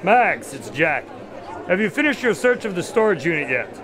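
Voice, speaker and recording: Radio drama sidekick doctor, played by a man: wry, skeptical, lively intonation, fairly high voice, crowd around. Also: normal speed. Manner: wry, questioning, mildly exasperated. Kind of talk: audio drama dialogue.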